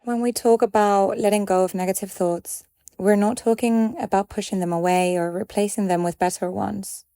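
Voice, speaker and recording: A woman leading a guided meditation in a calm, gentle and measured way, leaving pauses between phrases. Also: medium pitch, clear, resonant tone, no echo, clean studio sound.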